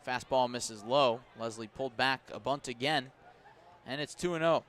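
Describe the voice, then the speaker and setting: A man doing live baseball play-by-play on the radio at an outdoor ballpark, measured between plays and rising with the action. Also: medium pitch, fairly bright tone. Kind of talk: live sports commentary.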